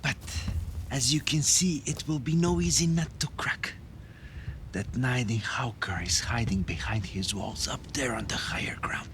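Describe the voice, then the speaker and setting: A man playing an embattled Viking jarl in a game, an earnest and measured ally with a coarse tongue. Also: mid-range voice, fairly bright tone, a little hiss or hum, natural voice. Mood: measured, warning. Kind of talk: cinematic game dialogue. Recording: studio voice over game music.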